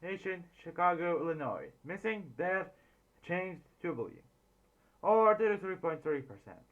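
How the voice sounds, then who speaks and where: A man's voice: sits high, expressive, normal speed, phone-quality sound. A man reacting casually to a video, joking and swearing freely.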